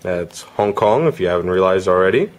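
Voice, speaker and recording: A man's voice: deep voice, lively intonation, a little fast. A man giving a casual, conversational product demo as a gadget reviewer.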